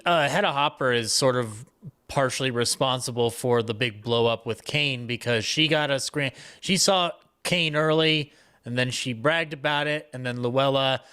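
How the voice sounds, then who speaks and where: A man talking casually as a panelist on a discussion, rambling through stories and halting mid-thought. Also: mid-range voice, sharp tone, clear, resonant tone. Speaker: panelist, old-time radio historian.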